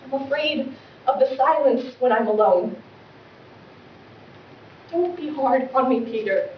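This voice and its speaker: Woman doing a desperate aristocratic mom in an old stage play, pleading and dramatic, high voice, far from mic with some hiss. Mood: pleading, wretched. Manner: melodramatic, pleading, dramatic pauses. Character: distraught, pleading aristocratic mother losing her beloved home. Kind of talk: classical stage drama monologue. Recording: distant mic, echoey room, dull, faint noise.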